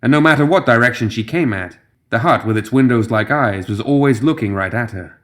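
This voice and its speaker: A man's voice: medium pitch, brisk, fairly bright tone. A man reading scripted fantasy fiction as a dramatic audiobook narrator.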